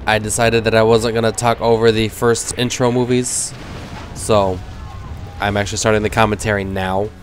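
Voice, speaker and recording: A man's voice: fairly low pitch, little pitch movement, moderate pace, faint music behind. A man in his thirties with a cold that leaves him nasal, giving rambling, self-deprecating casual gameplay commentary. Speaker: gaming commentator.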